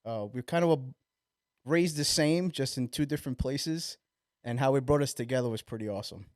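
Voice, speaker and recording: A man, co-hosting a casual podcast in an easygoing way and chiming in with quick agreement. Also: mid-range voice, a little mellow, no echo, clean studio sound. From Queens, New York. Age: 30s. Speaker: podcast co-host. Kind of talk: casual chat.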